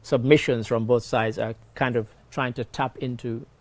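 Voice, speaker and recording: A man's voice: medium pitch, fairly even intonation, normal speed, no echo. A man talking conversationally as a morning show panelist, explaining current affairs and giving his opinions.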